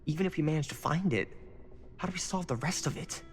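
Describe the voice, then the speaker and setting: A man's voice: a little high, fast, breathy edge. A man playing a casual, doubtful young guy in a video game, wry and offhand. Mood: doubtful, grumbling. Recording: long hall reverb, faint music.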